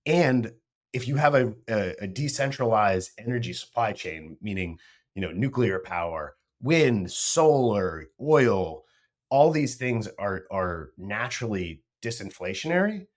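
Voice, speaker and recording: A man co-hosting a casual market discussion, animated and full of trailing restarts. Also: on the low side, measured pace. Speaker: podcast co-host.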